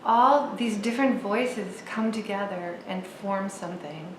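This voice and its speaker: Woman artist, unhurried and reflective, faint background hiss. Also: medium pitch, roomy sound. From East Coast of the US. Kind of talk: reflective talk about her art.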